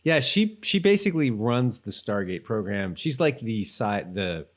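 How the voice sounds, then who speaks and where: A man co-hosting a podcast, explaining things in a casual, loosely rambling and halting way. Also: lowish voice, sing-song. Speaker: podcast co-host. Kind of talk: casual rewatch chat.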